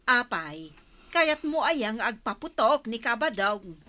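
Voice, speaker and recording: A woman's voice: a little high, very animated, slow, sharp tone, full character voice. A woman playing a chatty, teasing village housewife in a conversational radio drama.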